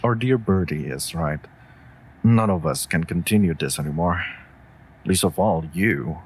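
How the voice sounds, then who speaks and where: A man's voice: low voice, a little slow, husky. A man playing a devoted, worried wolf familiar in a comforting audio roleplay, speaking tenderly and formally to a mage. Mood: gently firm. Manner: tender, earnest, formal phrasing.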